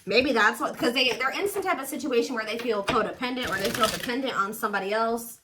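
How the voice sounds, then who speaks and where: A woman's voice: a little high, quick pace, background noise. A woman giving a casual, intuitive tarot reading to viewers, speaking informally and with emphatic assurance. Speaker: tarot reader, host.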